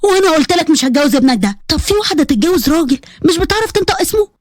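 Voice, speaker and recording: A woman storyteller acts out a defiant young orphaned bride in an audio story. She is sharp, sarcastic and wounded, firing words out rapidly in a high voice, recorded dry and close. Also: lively intonation, no room sound. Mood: indignant, tearful. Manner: sharp, indignant, mouthy delivery.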